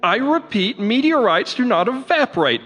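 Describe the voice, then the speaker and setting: High, pompous, sputtering professor, reverberant. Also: male voice, very animated, normal speed, pushed and bright, breathy edge, soft background music, full character voice. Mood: indignant. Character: pompous, indignant university professor, fussy, easily cowed. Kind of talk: radio drama acting.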